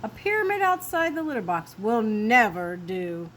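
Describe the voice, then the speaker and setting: A woman voicing a fussy mother cat who scolds in a drawn-out, exaggerated motherly way in a storybook reading. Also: fairly high pitch, big pitch swings, a bit bright, a little hiss or hum. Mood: scolding.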